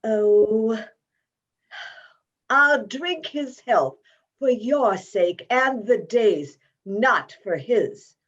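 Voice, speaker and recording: Pointed, sharp-tongued Victorian wife played by a woman, husky voice with lively swings, for a Christmas tale. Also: mid-range voice. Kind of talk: dramatic reading of a Victorian Christmas story. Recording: slightly dull video-call audio.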